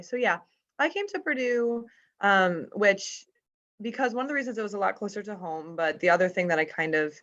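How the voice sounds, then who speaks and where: A woman's voice: lowish voice, fairly bright tone. Upbeat chatty young woman on a video call. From Chicago suburbs, US. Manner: chatty, upbeat, many fillers. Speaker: fourth-year veterinary student. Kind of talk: casual panel talk on a video call. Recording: muffled video-call audio.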